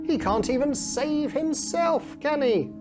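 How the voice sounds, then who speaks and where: A woman's voice: sits high, animated, slow, voice pushed up. A woman voicing a jeering man who mocks a prisoner in a children's storybook, exaggerated and taunting. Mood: mocking. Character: jeering men mocking a condemned prisoner. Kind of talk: children's storybook character reading. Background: music.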